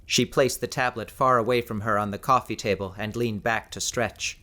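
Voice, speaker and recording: Low-voiced male audiobook narrator. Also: steady tone, normal speed, bright, forceful tone. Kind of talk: scripted fiction narration.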